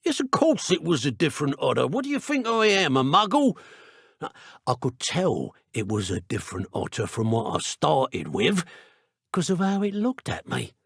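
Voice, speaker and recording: A man with a British accent acting a menacing, taunting dark wizard poacher in a video game, in a theatrical, colloquial way. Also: fairly high pitch, sing-song, normal speed, vocal fry, slightly rough, no echo, studio quality, full character voice. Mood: mocking, indignant.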